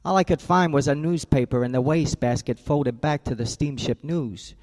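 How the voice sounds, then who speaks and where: An actor playing a tough, wisecracking private eye who talks in terse, sardonic lines and narrates in a hard-boiled style. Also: male voice, on the high side, moderate pace, darkish tone, natural voice. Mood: narrating.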